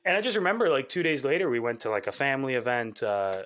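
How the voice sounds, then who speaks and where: A young man, a musician from Canada, talking casually in an interview, rambling with lots of fillers and quick laughs. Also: mid-range voice, sing-song, a bit bright. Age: young adult. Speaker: musician guest.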